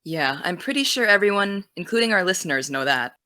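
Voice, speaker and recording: A young actress playing an earnest high school girl who wants to be a journalist, defensive and dry. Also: animated, fairly quick, bright, forceful tone, no room sound. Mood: guarded. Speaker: student podcast host. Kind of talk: audio drama.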